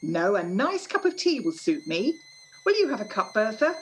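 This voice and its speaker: A woman playing a practical older working-class mother in a radio play, fussing and motherly, gently chiding. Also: mid-range voice, big pitch swings, moderate pace, a little hiss or hum, lightly acted. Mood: hospitable, fussing.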